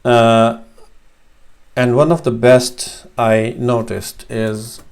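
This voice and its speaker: Man in his thirties, Urdu native speaker from Pakistan, gravelly voice, hesitant teacher at a webcam. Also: mid-range voice, flat delivery, extremely slow, a little mellow. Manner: hesitant, many fillers and restarts. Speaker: Arabic teacher. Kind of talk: screen-share lesson. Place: small room at home, indoors.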